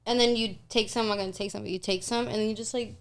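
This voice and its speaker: A woman's voice: mid-range voice, fairly even intonation, fast talker. A woman co-hosting a casual podcast, chatty and informal with slangy phrasing. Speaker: podcast co-host. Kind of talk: casual group podcast chat.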